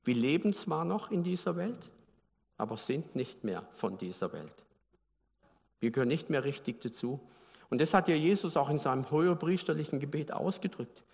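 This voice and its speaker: A man's voice: fairly high pitch, lively intonation, moderate pace, gravelly, darkish tone, big-room sound. A man from Baden in Germany preaching a sermon, talking conversationally and putting questions to the congregation.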